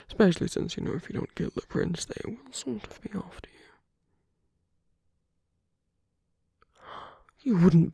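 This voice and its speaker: A woman playing a flirtatious villain in an intimate ASMR roleplay, teasing and tender, speaking softly in a coaxing half-whisper. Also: lowish voice, gravelly, husky, no room sound, exaggerated voice.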